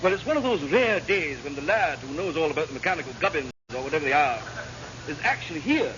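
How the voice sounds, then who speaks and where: A man's voice: on the high side, animated, fast talker, natural voice. A British man acting a cheerfully vague, chatty naval engineering officer in a classic radio comedy, rambling on with elaborate politeness. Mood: blithe, chatty. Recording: very noisy, muffled old radio recording.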